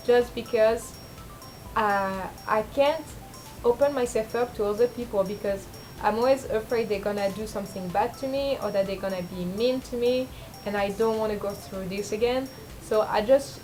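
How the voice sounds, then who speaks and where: A young woman with a low speaking voice, rambling and self-deprecating in a casual confessional vlog, full of fillers and restarts. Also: darkish tone, poor audio. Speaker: vlogger.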